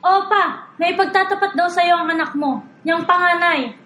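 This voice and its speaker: An angry middle-aged mother, acted by a woman in a radio drama, demanding and scolding. Her voice is extremely high and piercingly bright, ringing in a roomy echo. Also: moderate pace. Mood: indignant. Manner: sharp, interrogating. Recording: roomy, echoing sound.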